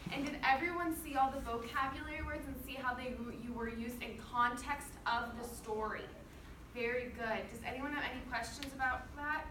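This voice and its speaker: A woman's voice: sits high, fairly even intonation, moderate pace. A woman teaching through an interactive storybook read-aloud, encouraging and often putting questions to her young listeners. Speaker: student teacher reading aloud to a class. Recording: roomy, distant microphone, clear background noise.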